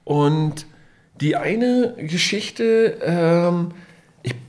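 A man's voice: high-pitched, animated, very slow, a little mellow. A man co-hosting a casual business podcast, telling stories with many fillers and restarts. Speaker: podcast co-host.

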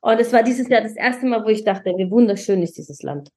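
A woman's voice: on the high side, fairly quick. Woman from Germany, forties, podcast guest, emotional, candid, stops and restarts a lot. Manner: expressive, emotional, frequent restarts. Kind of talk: candid personal storytelling.

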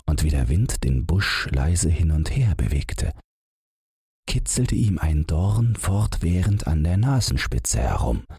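Guy reading bedtime fairy tales, slow n gentle, super breathy husky voice. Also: rumbling low, no room sound, clean studio sound. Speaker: audiobook narrator. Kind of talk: scripted bedtime fairy tale narration.

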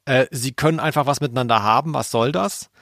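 A man's voice: medium pitch, fast, a bit bright, no room sound, studio quality. A man co-hosting a podcast in a casual, conversational style. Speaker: podcast co-host.

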